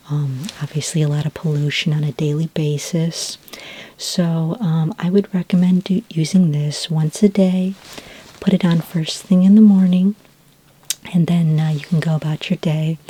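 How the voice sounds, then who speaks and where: A woman acts out a gentle female skin care consultant in a sci-fi ASMR roleplay. Her voice is low, mellow and very breathy, often slipping close to a whisper. She explains things in an informal, slightly hesitant way, calm and reassuring. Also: low-quality recording. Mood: calm, helpful. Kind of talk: soft-spoken ASMR roleplay.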